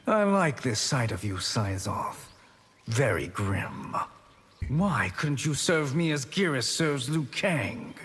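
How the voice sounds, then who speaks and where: A man playing a smug, scheming, remorseless sorcerer in a video game, with a mocking, smooth-talking manner. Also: mid-range voice, big pitch swings, takes it slowly, fairly bright tone, heavily acted. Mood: amused, mocking. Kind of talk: video game fight banter. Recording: reverberant hall-like game sound.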